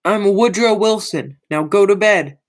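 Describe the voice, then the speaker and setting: A woman's voice: mid-range voice, measured pace, lightly acted. A young woman in an amateur radio play, playing a sinister, domineering criminal man with a commanding, insinuating manner. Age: young adult.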